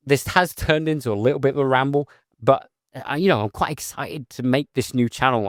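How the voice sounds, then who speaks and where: A man talks straight to his viewers in a steady, monotone, settling voice, earnest and a little rambling. His voice sits at an everyday middle pitch. The sound is close and dry, with no room echo. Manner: rambling, earnest, with filler phrases and restarts. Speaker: vlogger, heavy goods mobile mechanic. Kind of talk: casual talk to camera.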